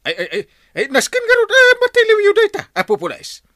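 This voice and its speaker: A man with an Ilocano accent playing an excitable, exclaiming village captain in a comedy radio drama. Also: very high-pitched, fairly quick, no echo, clean studio sound, exaggerated voice. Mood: startled, excitable. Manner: exclaiming, stretched words, sudden squawks.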